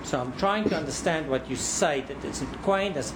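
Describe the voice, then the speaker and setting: A man cross-examining a witness in court, deliberate and probing, often repeating words as he frames a question. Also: a little high, animated, background noise.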